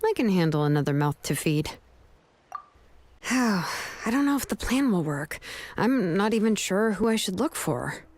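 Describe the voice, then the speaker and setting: A laid-back adult woman smith in a video game. She talks in a relaxed, gentle and reassuring way, with a voice in the middle range. She sounds caring and unhurried. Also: mid-range voice, a little hiss or hum. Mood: easygoing.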